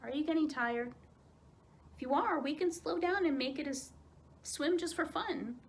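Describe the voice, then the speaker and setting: A woman voicing a kind grown-up aunt moose in a children's story, encouraging and gently teasing. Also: high-pitched, normal speed, vocal fry, voice pushed up.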